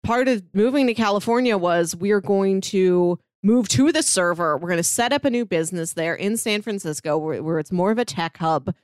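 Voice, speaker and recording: A woman co-hosting a podcast, talking eagerly and laughing as she goes. Her voice sits at a middle pitch and sounds dry and close, with no room echo. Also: moderate pace, few pauses. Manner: animated, laughs often while talking. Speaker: podcast co-host. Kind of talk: casual podcast discussion.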